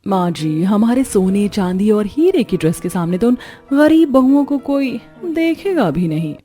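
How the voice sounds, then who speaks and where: Spoiled, snooty young cartoon daughter-in-law, acted by a woman, mellow tone with light music. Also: mid-range voice, sing-song, a little slow. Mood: disdainful.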